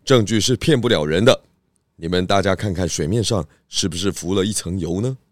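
An actor voicing a calm, confident detective pig who explains the case in a children's radio drama. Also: male voice, low voice, brisk, dry recording, studio quality, close to an everyday voice. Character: calm clever pig detective, male adult. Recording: music bed under voice.

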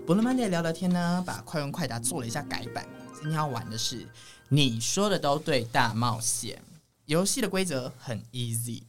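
A man's voice: fairly high pitch, lively intonation, normal speed, soft background music. A man with a Taiwanese Mandarin accent hosting a casual entertainment chat in a playful, teasing manner. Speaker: podcast host.

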